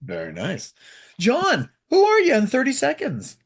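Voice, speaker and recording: A man hosting a radio interview, prompting guests with brisk, upbeat questions. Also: very high voice, huge pitch swings. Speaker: radio show host.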